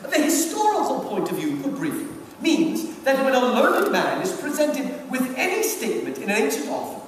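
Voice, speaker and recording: A man performs a scheming senior devil, pompous and sardonic, in a dramatic letter reading. The pitch is high and swoops freely as he savors each deliberate phrase. It carries from far off in a very echoey hall. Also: huge pitch swings, full character voice. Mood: smug, sardonic. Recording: distant, very echoey hall, background noise.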